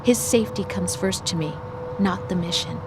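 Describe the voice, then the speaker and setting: An actress playing a young intelligence analyst in a video game, protective and headstrong, speaking in an earnest, assertive but tender way. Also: female voice, mid-range voice, normal speed, background noise, lightly acted. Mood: firm, defiant.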